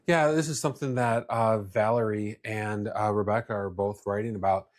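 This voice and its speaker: A man with a fairly low voice talks as a podcast host. His delivery is conversational and plainspoken, with blunt, opinionated phrasing in a casual business discussion. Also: fairly even intonation, moderate pace.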